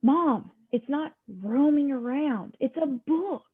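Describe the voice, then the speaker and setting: A woman's voice: high voice, slow pace. A woman reading the part of an exasperated, easily embarrassed teenage daughter with a dry streak, in an amateur script read. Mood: exasperated, accusing. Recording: muffled, lo-fi home microphone.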